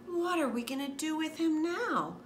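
A woman's voice: sits high, lively intonation, normal speed, reverberant, slight background noise, pitched above the performer's own voice. A woman doing a fretful, exasperated mom. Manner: exasperated, rising exclamations.

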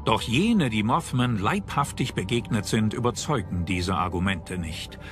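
A man's voice: on the low side, animated, measured pace, a bit bright. A man reading scripted documentary narration as the narrator. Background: music.

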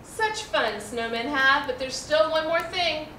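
A middle-aged American woman with a high, bright voice reads a children's storybook aloud, articulating carefully and expressively. She is recorded at a distance in an echoey room. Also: a little slow. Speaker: librarian reading a picture book aloud. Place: indoor room, seated before a backdrop. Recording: roomy, distant mic, faint noise.

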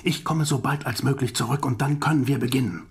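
Husky, grave old professor. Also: male voice, mid-range voice. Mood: grave warning. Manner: urgent, solemn, deliberate. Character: grave, commanding older male professor hunting a vampire. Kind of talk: German audio drama acting.

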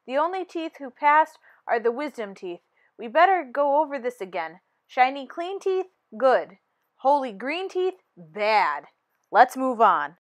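A woman's voice: high-pitched, very animated, unhurried. A woman voicing an encouraging dentist teacher who explains things to a class in a storybook. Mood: instructive. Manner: instructive classroom delivery.

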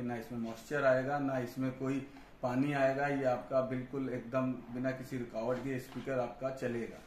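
A man's voice: mid-range voice, darkish tone. Male reviewer, explanatory and informal, recorded in a reverberant room with background noise. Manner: explanatory, repeats "you see" and "I'll show you". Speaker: product reviewer and audio installer. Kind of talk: informal product demonstration to camera. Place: indoor tiled storeroom with boxes.

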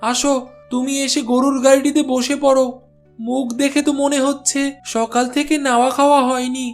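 A man's voice: very high voice, little pitch movement, moderate pace, faint music behind. A man voicing a kindly, helpful village porter who reassures his friend in a cartoon.

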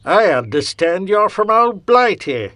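Brief: man putting on a chatty old English gent, higher voice, sing-song lift, dry close sound. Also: big pitch swings, a little slow, sharp tone, no room sound, exaggerated voice. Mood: genial. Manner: courtly, old-fashioned turns of phrase. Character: genial elderly English gentleman, chatty and polite.

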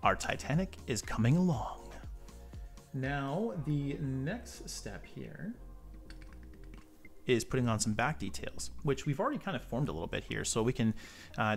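A man's voice: mid-range voice, very animated, moderate pace, gravelly, soft background music. A man with a stuffy nose from a cold, hosting a model-building tutorial and chatting casually with rambling asides as he works at a table. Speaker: model-building channel host. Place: indoor tabletop workbench.

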